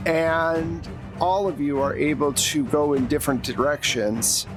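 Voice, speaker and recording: A man's voice: a little high, fairly even intonation, a little slow. A man running a tabletop roleplaying game as game master, describing scenes to the players in vivid, conversational second person. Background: background music.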